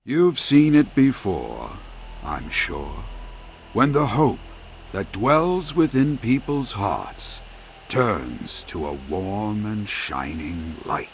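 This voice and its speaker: An actor playing a brooding, wistful man in a fantasy game, speaking in a solemn, measured, dramatic way. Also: fairly low pitch, sing-song, a little hiss or hum, heavily acted. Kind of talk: video game cutscene acting.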